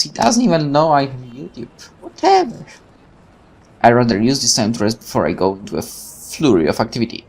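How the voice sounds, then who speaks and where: A man's voice: medium pitch, vocal fry. A man hosting a let's play, reading game text aloud and adding rambling casual asides, sometimes stumbling over words. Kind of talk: reading a visual novel aloud with casual commentary.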